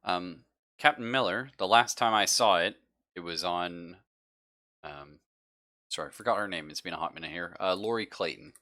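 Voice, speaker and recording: A man's voice: sits low, normal speed, pushed and bright. A man running a tabletop roleplaying game as game master, talking casually and checking in with his players as he sets out scenes.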